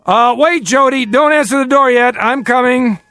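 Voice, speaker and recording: A man's voice: very high voice, normal speed, sharp tone, smooth, soft background music. An American actor playing a middle-aged, secretive family father in a radio drama, commanding, guarded and urgent. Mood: anxious, firm. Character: tense secretive family father plotting an escape.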